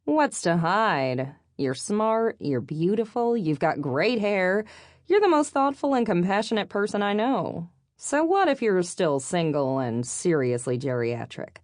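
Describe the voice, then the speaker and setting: A woman's voice: fairly low pitch, sing-song, a little slow, darkish tone, no room sound, voice pushed up. A sassy best-friend type from an audiobook, voiced by a female narrator. She is a young woman with a teasing, blunt streak. Her speech is informal and punchy, in a dry recording.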